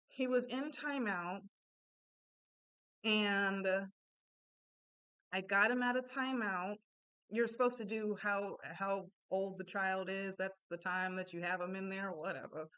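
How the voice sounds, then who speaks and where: Woman, slight Panamanian Spanish accent, animated, conversational, storytelling monologue. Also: mid-range voice, clear, resonant tone. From born in Japan, Panamanian family. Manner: animated, conversational, frequent "right?" asides. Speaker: self-help speaker.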